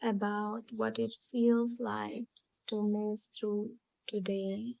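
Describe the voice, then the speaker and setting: A woman leading a guided visualisation in a calm, soothing, hypnotic storytelling manner, leaving long pauses between phrases. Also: mid-range voice, vocal fry, no room sound. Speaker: hypnotherapy channel host and guide.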